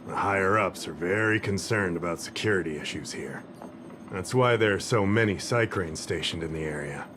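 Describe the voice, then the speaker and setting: An actor playing a casual, chatty middle-aged security officer who rambles a little in a game cutscene. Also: male voice, deep voice, moderate pace, background noise, close to an everyday voice. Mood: explaining. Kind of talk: game voice acting. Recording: game cutscene with music behind.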